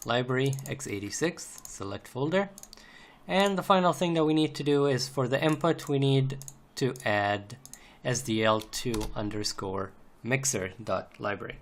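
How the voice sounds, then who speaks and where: Male tutorial instructor, mid-pitched, methodical and repetitive, unscripted screencast with faint noise behind. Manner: methodical, repeats phrases as he goes. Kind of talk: unscripted step-by-step screencast narration. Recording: noisy home recording, typing and clicks. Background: keyboard typing, mouse clicks, background noise.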